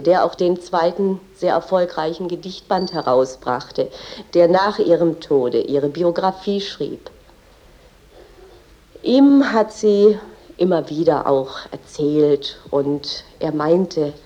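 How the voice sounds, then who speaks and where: A woman with a very low-pitched voice speaks as a guide explaining someone's life. Her tone is dark and relaxed, and her delivery is explanatory, with some hesitation. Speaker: guide telling of a poet's life. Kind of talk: semi-spontaneous biographical explanation.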